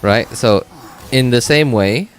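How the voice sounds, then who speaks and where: A man's voice: mid-range voice, slow, slight background noise. A man streaming a game in a casual, rambling chat, thinking aloud and tossing in exclamatory asides. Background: game music and dialogue.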